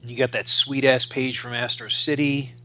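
A man's voice: mid-range voice, level tone, moderate pace, bright, forceful tone. A man in his forties co-hosting a podcast, chatting casually and enthusiastically about comic books, swearing as he explains things. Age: 40s. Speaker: podcast co-host.

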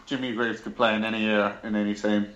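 A man's voice: lowish voice, little pitch movement, quick pace, a bit bright. Man in casual chat, lo-fi sound. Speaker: football podcast panelist. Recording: slightly dull lo-fi audio.

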